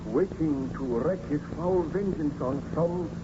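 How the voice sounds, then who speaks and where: A dull, noisy old radio recording carries an actor playing a haunted baron. The man's voice is fairly high, with formal, theatrical delivery full of anguish and horror at what he has made. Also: measured pace, lightly acted. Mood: weary, haunted. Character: anguished, recovering Baron, haunted and horrified by his creation. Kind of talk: 1930s radio acting.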